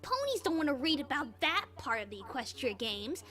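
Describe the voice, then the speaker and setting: A woman's voice: high-pitched, sing-song, fairly quick, pushed and bright, faint music behind, exaggerated voice. A woman voicing a snobbish, haughty young filly who bosses others around in a cartoon-style drama. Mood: exasperated. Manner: haughty, demanding. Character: bossy, snobbish young filly school newspaper editor. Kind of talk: animated fan fiction audio drama.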